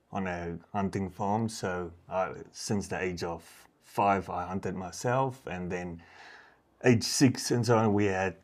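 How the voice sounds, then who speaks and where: A man with a South African accent talking casually in an interview as a professional hunter, in plain, slightly halting English. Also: low voice. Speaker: professional hunter, safari outfitter.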